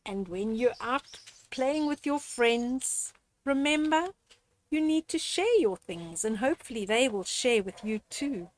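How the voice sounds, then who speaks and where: Older woman, children's storyteller, very animated intonation, unhurried pace, gently instructive, read-aloud style. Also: fairly high pitch. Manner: gentle didactic, addressing young children directly. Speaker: storyteller reading children's books. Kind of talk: read-aloud picture book with moral commentary.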